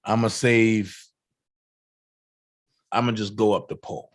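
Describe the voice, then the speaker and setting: A hoarse man hosting a livestream, chatting casually and hyping up shout-outs to fellow channels. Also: lowish voice, fairly even intonation, measured pace, no echo, clean studio sound. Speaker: livestream host.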